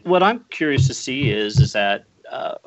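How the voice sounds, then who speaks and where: A man's voice: mid-range voice, expressive, normal speed, muffled recording, slight background noise. A man on a call-in panel who wonders aloud in a thoughtful way, with many fillers.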